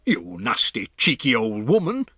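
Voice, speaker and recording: A man voicing a blustering, hot-tempered gentleman who shouts in outrage. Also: medium pitch, big pitch swings, a little slow, husky, dry recording, studio quality, pitched above the performer's own voice, exaggerated voice. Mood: angry. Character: blustering mustached man, hotel guest, angry.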